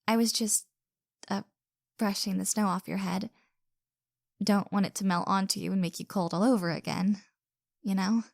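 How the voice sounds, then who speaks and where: A woman's voice: medium pitch, fairly even intonation, normal speed, creaky, long pauses, dry recording, clean studio sound. A woman acting a caring, flustered young woman with a crush in an intimate roleplay audio drama, speaking hesitantly and earnestly with stammers. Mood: cheery, flustered.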